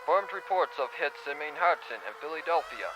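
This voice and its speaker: A man's voice: a little high, animated, fairly quick, lightly acted. Man playing anxious pony news anchor, noisy broadcast. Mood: tense composure. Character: anxious male pony television news anchor trying for calm. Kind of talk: news broadcast acting in fan audio drama. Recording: noisy staticky TV broadcast with sirens.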